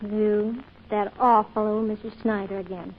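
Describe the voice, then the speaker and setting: An actress playing a gentle homemaker wife in an old-time radio drama, homely and solicitous. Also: female voice, medium pitch, flat delivery, normal speed, breathy edge, lightly acted. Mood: teasing, homely. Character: gentle, worried wife, dryly teasing homemaker. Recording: muffled old radio recording, music.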